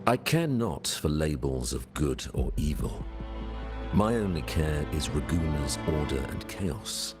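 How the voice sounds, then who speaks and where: Game villain: grave, imperious lord, rock-bottom pitch, slow delivery. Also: male voice, sing-song, music in the background, heavily acted. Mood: cold, suspicious. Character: stern, commanding older lord obsessed with order. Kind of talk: game voice acting.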